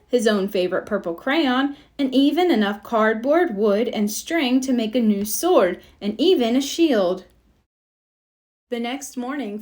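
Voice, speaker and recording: A woman narrating a children's storybook aloud in an expressive read-aloud style. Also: fairly high pitch. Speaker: storybook reader.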